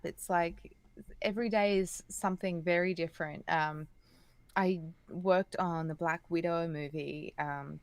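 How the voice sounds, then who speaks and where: A deep-voiced Australian woman talks eagerly as an interview guest. She tends to pause and hunt for words. The sound is close and dry, with no room echo. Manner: enthusiastic, chatty, searching for words. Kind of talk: casual interview chat.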